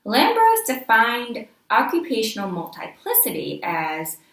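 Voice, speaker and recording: A woman's voice: fairly high pitch, huge pitch swings, unhurried, vocal fry, a bit bright. A woman reading a scripted tribute essay aloud as narration. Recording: roomy echo, distant microphone.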